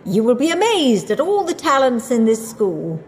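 A woman's voice: on the high side, sing-song, normal speed, pitched above the performer's own voice. A woman voicing a bossy, gushing headmistress who grandly announces a school show in a children's storybook. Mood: proudly announcing.

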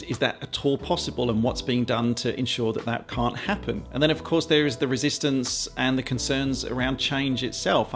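A man, presenting an explainer to camera in a measured, conversational way. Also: mid-range voice, fairly bright tone. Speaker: aviation vlogger and presenter. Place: small quiet home office, indoors.

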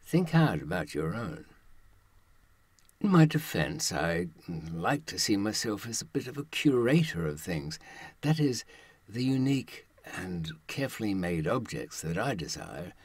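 A man in his seventies giving a reflective monologue, musing haltingly and posing rhetorical questions in a husky voice. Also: lowish voice, big pitch swings, dry recording. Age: 70s. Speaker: presenter.

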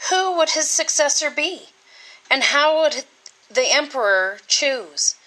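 A woman reading a children's storybook aloud with deliberate, expressive, dramatic phrasing. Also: high voice, poor audio. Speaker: teacher reading a picture book.

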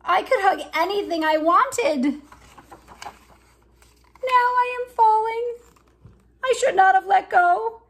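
A woman's voice: extremely high pitch, slow pace, pauses often, voice pushed up, exaggerated voice. A woman voicing a small, young, earnest and determined T-rex from a storybook, with simple, careful phrasing. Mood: alarmed.

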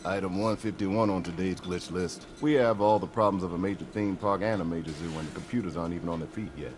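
A man voicing a gruff, clipped park engineer in a deadpan manner. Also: deep voice, quick pace, background noise, lightly acted. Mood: weary.

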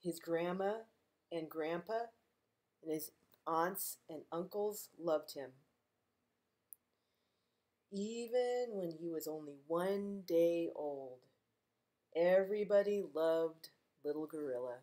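A middle-aged woman reading a picture book aloud to young children, gentle and expressive, as a librarian at home. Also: on the low side, slow pace, pauses often. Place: living room at home, indoors.

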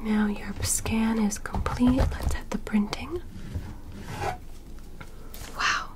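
A woman voicing a gentle, curious alien medical examiner in an ASMR roleplay, in a soft, breathy whisper with deliberate short instructions. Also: a little high, fairly even intonation. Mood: soothing, clinical. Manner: slow, deliberate, short instructions with simple praise. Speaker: ASMR performer playing an examiner.

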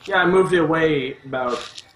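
A man's voice: a little high, moderate pace, fairly bright tone, slight background noise. A twenty-year-old man from Texas, chatting and wisecracking with friends. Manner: talkative, wisecracking. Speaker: co-streamer. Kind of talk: casual chat.

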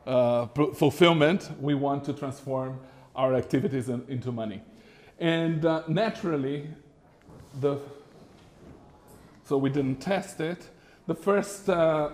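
A middle-aged man from Brazil giving a masterclass presentation to a live audience in a small room, animated and conversational with frequent fillers. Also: on the high side. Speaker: business consultant lecturer. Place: small lecture room with a screen.

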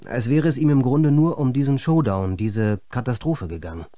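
An actor playing a film director's baffled, subdued assistant, answering earnestly and hesitantly as an interviewee in a radio play. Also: male voice, mid-range voice, normal speed, mellow, no echo, studio quality, lightly acted. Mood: troubled, resigned.

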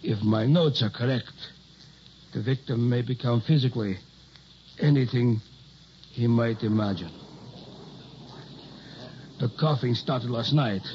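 Actor playing a grave old doctor, muffled radio recording. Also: male voice, mid-range voice, a little hiss or hum, lightly acted. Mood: grave, confessing. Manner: measured, formal, solemn. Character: worried older doctor, grave, secretive scientist confessing. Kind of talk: 1960s radio drama acting.

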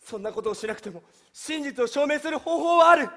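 An actor playing an earnest, determined young defense lawyer in a theatrical courtroom play. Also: male voice, very high-pitched, lively intonation, a little fast, pushed and bright, in a large hall, exaggerated voice. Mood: earnest, urgent. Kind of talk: theatrical courtroom stage acting. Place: theater stage.

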